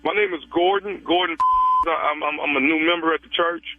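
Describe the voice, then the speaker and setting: Man in his thirties, fairly high voice, casual prank caller who stalls with fillers, heard through a dry tinny phone line. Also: steady tone, dry recording. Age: 30s. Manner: halting, casual, many fillers.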